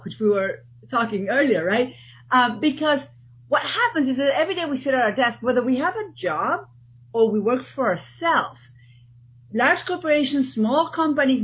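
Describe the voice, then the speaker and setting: A woman from Argentina with an Argentine accent, a guest in an interview, talking in an animated way and often restarting her sentences. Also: on the high side, breathy edge, lo-fi sound. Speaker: guest, TEDx organizer. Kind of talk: podcast interview.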